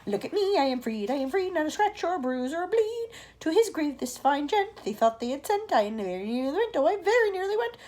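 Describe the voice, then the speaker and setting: A woman's voice: medium pitch, animated, normal speed, few pauses, darkish tone, husky. A woman voicing a giddy, boastful male centipede in a storybook reading, with a squealing sing-song delivery.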